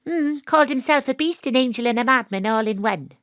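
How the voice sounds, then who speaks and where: An actress playing a wry, world-weary private detective, narrating and talking in an audio drama. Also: female voice, on the high side, expressive, a little fast, fairly bright tone, no room sound, clean studio sound. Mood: inquisitive. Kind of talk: audio drama dialogue and noir-style narration.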